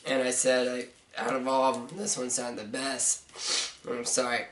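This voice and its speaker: A young man with a hoarse, stuffy voice giving a rambling, hesitant book review, full of fillers like "uh" and "so.". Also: mid-range voice, flat delivery, normal speed, a bit bright. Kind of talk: casual video review. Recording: roomy, mic at a distance, faint noise.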